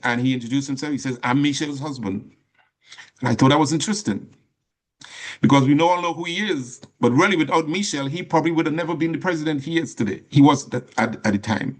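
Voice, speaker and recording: A middle-aged man from Trinidad with a Trinidadian accent, giving an earnest personal talk as a guest speaker, stressing phrases slowly and restarting often. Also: medium pitch.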